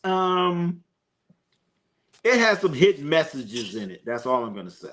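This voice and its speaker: A man's voice: fairly high pitch, expressive, a little slow, a bit bright. A man hosting a live sports stream, chatting loosely with viewers and a co-host, joking and using slang with lots of fillers. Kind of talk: casual live stream chat reading comments.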